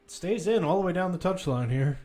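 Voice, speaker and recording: A man's voice: high voice, lively intonation, a little fast, darkish tone. A man giving live football play-by-play commentary, describing the action as it runs, with asides and self-corrections.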